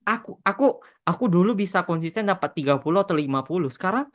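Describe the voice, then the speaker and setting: A man's voice: sits high, lively intonation, quick pace. Casual male podcaster mixing in English. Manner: mixes English phrases into his talk. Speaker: podcast host and animation creator answering fan questions.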